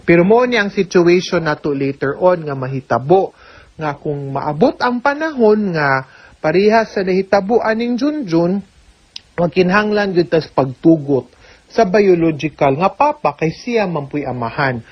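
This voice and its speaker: A woman's voice: lowish voice, expressive, moderate pace, husky. A woman with a Cebuano Filipino accent giving conversational, step-by-step legal advice in answer to listener questions, often adding small check-in tags. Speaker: legal adviser on a radio programme. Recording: dull, lo-fi radio audio.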